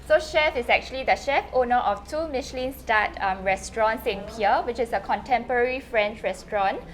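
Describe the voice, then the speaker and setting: A woman's voice: on the high side, fairly quick. A woman, a dietitian introducing a cooking demo with frequent "so" and "okay". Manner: bright, filler-heavy, frequent "okay" and "so". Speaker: dietitian hosting the event. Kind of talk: event emcee introduction.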